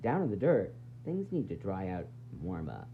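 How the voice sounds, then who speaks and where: A man reading a children's picture book aloud in an expressive, dramatic, sing-song way, with varied emphasis. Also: sits low, moderate pace, soft background music. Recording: dull lo-fi sound, faint noise and hum.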